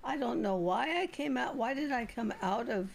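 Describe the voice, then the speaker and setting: A woman with a gravelly voice, chatting casually as a companion in an online game. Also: a little high, lively intonation, normal speed, crowd noise. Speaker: gaming companion on voice chat.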